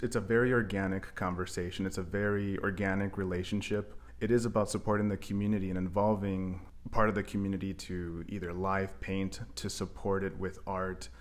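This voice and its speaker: A man from Mexico, raised in Milwaukee, speaking as an artist in a reflective interview, earnest and wordy in his phrasing. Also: low-pitched. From Cancun, Mexico, raised in Milwaukee. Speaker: live painter and visual artist. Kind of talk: reflective interview monologue about their work.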